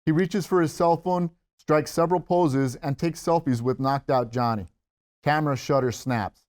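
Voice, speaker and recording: A man's voice: fairly high pitch, normal speed, a little mellow. A man narrating screenplay stage directions at a table read.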